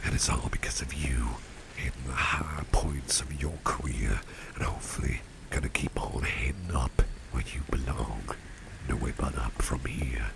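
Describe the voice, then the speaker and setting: A man playing a kindly, genteel boss in an ASMR roleplay, speaking in an unhurried, breathy half-whisper with a gravelly depth. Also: a bass voice, heavy background noise. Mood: pleased, praising. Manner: genteel, unhurried, repeats phrases for emphasis. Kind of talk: ASMR roleplay, soft-spoken personal attention.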